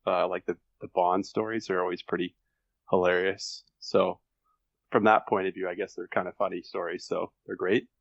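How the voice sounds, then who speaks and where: Man, lowish pitch, casual shrugging podcast guest, offhand delivery, slightly muffled recording. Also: little pitch movement, normal speed, creaky, no echo. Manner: noncommittal, offhand. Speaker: podcast guest, fan fiction author. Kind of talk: casual chat.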